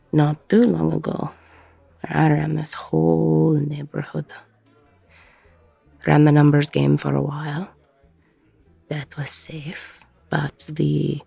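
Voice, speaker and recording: Woman acting a husky, slow-talking ex-con barkeep. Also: low voice, dark tone, no room sound, heavily acted. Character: weary, protective middle-aged ex-con female bar owner. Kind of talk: intimate audio roleplay acting.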